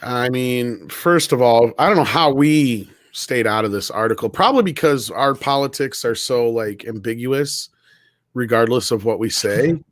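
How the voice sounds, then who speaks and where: A man's voice: medium pitch, moderate pace, dry recording, studio quality. A man giving blunt, casual commentary on a talk panel.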